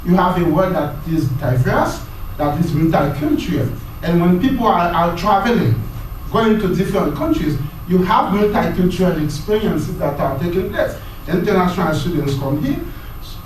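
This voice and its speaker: Man lecturing, high-pitched, halting, repeats words, very echoey room. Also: a little mellow, a little hiss or hum. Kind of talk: university lecture. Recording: very echoey room, mic far off.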